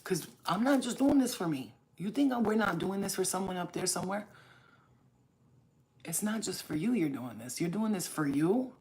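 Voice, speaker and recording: A woman's voice: medium pitch, animated, moderate pace, darkish tone, breathy edge. A woman from Staten Island, hosting her own channel and talking straight to viewers in a blunt, profane, preachy way. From Staten Island, New York. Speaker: spiritual reader and channel host. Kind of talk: casual talk to camera.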